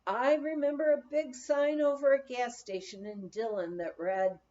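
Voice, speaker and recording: A woman reading a memoir aloud as an audiobook, with an expressive, deliberate storytelling delivery. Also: on the high side, dull audio. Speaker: audiobook reader. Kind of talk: scripted memoir narration, read aloud.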